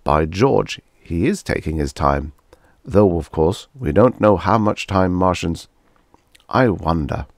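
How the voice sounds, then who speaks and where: A man voicing an excitable, pompous middle-aged professor in a story reading, full of wondering exclamations. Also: low-pitched, slow, dry recording, studio quality, heavily acted. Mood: puzzled.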